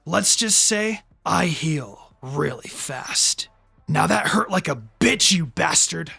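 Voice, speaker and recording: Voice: mid-range voice, lively intonation, slow, creaky, pushed and bright, breathy edge, faint music behind, exaggerated voice. A narrator voicing a brash, defiant teenage boy ninja hero in a read-aloud story, cocky and blunt. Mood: cocky defiance.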